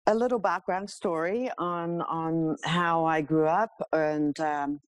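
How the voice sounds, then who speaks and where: A woman's voice: deep voice, steady tone, takes it slowly, no room sound. A middle-aged woman from Hong Kong telling her own story casually and candidly as a podcast guest. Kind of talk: casual autobiographical interview talk.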